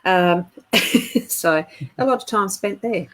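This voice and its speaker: A middle-aged woman co-hosting a live spiritual chat stream, talking in a meandering, halting way. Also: mid-range voice, fairly bright tone. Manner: meandering, halting, laughs often.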